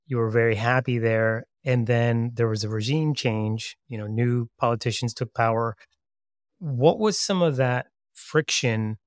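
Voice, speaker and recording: A man hosting a podcast, reading a scripted introduction and asking interview questions in a measured, friendly way. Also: mid-range voice, dry recording, studio quality. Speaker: podcast host.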